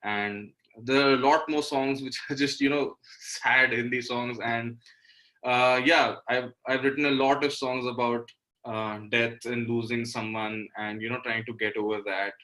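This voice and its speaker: Male, 20s, Indian accent, singer-songwriter interview guest. Bright voice, average pitch, reflective and explanatory, conversational. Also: fairly even intonation, unhurried, poor audio. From Delhi, India. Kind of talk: casual interview talk.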